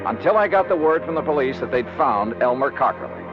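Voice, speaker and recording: A man acts out a hard-boiled investigator from a 1950s radio drama. He is skeptical and wry, pressing his points in clipped, animated phrases. The vintage recording is muffled, with music behind. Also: medium pitch, fast, lightly acted.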